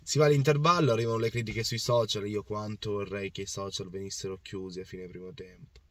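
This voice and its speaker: Hoarse man rambling in a parked car. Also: lowish voice, steady tone, a little fast, a bit bright, no echo, recorded from a distance. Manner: passionate, rambling with restarts and repetitions. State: hoarse, voice worn out. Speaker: football fan vlogger. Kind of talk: casual match recap to camera. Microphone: phone or camera on the dashboard.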